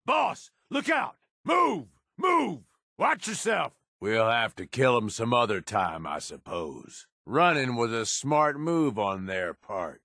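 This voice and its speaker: A gravelly, crude raider lieutenant. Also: male voice, mid-range voice, sing-song, slow, hardly any pauses, sharp tone, no echo, clean studio sound, full character voice. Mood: combat barks. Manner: gravelly, crude and sardonic, tough-guy drawl. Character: gruff male raider lieutenant, scheming and crude. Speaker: companion character. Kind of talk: video game combat and companion barks.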